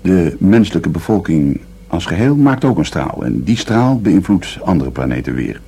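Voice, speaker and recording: A man's voice: low voice, sing-song, moderate pace, dark tone, husky, heavily acted. An actor in a Dutch radio drama playing a dreamy, philosophizing man who explains things in a musing way.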